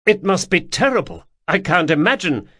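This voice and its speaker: A man voicing a desperate young noble man who pleads, protests and breaks into shouting. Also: sits high, sing-song, normal speed, dry recording, studio quality, pitched above the performer's own voice, full character voice. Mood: earnest.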